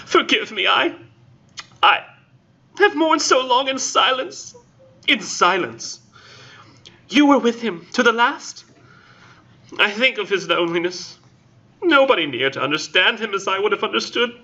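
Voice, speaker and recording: A man putting on a grieving young woman's falsetto. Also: sing-song, unhurried, pauses often, pushed and bright, lo-fi sound, heavily acted. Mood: pleading. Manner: breathless, pleading, high falsetto.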